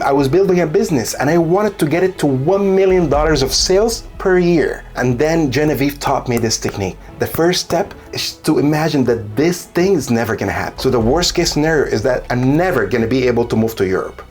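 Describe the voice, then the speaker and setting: A man's voice: on the high side, a little mellow. A man from Egypt in his thirties, giving an earnest motivational talk to camera from a home studio. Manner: earnest, coaching, self-mocking asides. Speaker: solo business creator.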